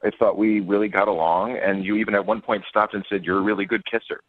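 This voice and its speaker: A man's voice: lowish voice, steady tone, fast talker, no echo. A man phoning in as a guest on a radio dating segment, talking casually down a phone line. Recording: tinny band-limited phone line.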